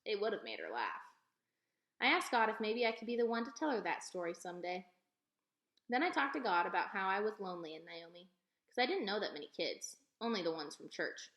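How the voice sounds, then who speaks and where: A woman reading a children's novel aloud as an expressive storyteller. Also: mid-range voice, fairly quick. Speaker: read-aloud reader. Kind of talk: expressive children's storybook narration, told as a young girl. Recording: echoey, roomy sound.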